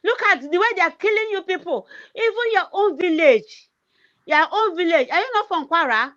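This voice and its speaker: High-pitched, impassioned Nigerian woman. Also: a bit bright, dull audio. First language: not English. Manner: repetitive, emphatic, heavy filler "eh". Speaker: activist livestreamer. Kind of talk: impassioned political rant.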